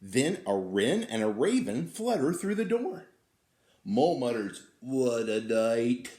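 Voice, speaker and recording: Animated, unhurried male storyteller in echoey room. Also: mid-range voice. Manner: expressive, drawn-out, inviting participation. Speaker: teacher reading a story to children. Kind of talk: animated picture-book storytelling. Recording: roomy, echoing indoor sound.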